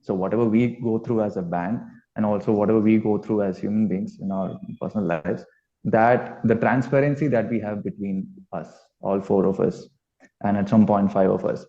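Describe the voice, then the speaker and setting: A man's voice: fairly low pitch, steady tone, moderate pace, warm tone. A man with an Indian accent, a musician giving a casual, reflective interview. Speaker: band musician being interviewed. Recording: dull lo-fi sound.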